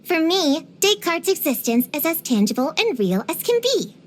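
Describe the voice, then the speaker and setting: A woman's voice: extremely high pitch, very animated, moderate pace. A woman voicing a precocious, feisty little girl in a video game, earnest and theatrical with grown-up wording. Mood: solemn, confiding. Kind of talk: scripted video game character voice lines.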